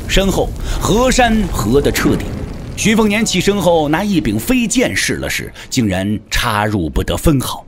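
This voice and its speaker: A man's voice: fairly high pitch, wildly swooping pitch, moderate pace, slight background noise. A man giving a dramatic scripted narration of a martial arts story.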